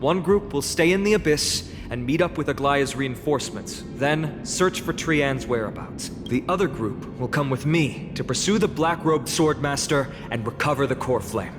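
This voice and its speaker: An actor playing a noble, grave young warrior leader in a video game, speaking formally and with command. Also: male voice, medium pitch, expressive, moderate pace, few pauses, natural voice. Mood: resolute. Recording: long reverb, music behind.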